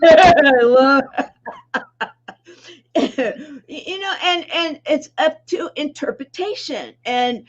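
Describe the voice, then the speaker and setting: Female talk-show host, slow and swooping delivery, dull lo-fi audio. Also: high voice, animated. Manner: enthusiastic, laughs often. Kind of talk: casual interview chat.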